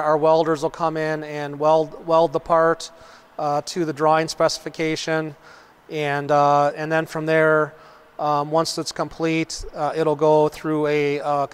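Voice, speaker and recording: A man's voice: on the high side, level tone, unhurried, smooth. A middle-aged man explaining processes on a factory tour, matter-of-fact, with frequent ums and uhs, recorded on a lapel mic in a large machine shop. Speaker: company manager giving a shop tour.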